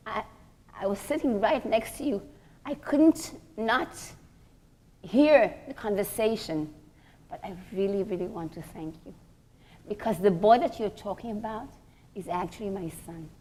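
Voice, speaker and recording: A respectable older lady, acted out by a woman telling a story, speaks with polite, pointed gratitude. Her delivery is measured, and she repeats herself for emphasis. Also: mid-range voice, darkish tone, close to an everyday voice.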